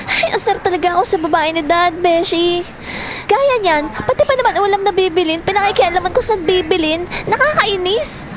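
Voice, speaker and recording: A woman's voice: very high-pitched, animated, moderate pace, background noise. A woman playing a resentful young daughter in a Filipino audio drama, whiny and grumbling but polite to elders. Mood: annoyed.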